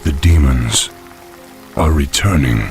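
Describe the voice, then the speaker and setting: A man playing a young, earnest orc war chief in a video game, gruff and commanding. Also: slow pace, faint music behind. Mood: wary, questioning. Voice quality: deep, gravelly. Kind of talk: video game cinematic acting.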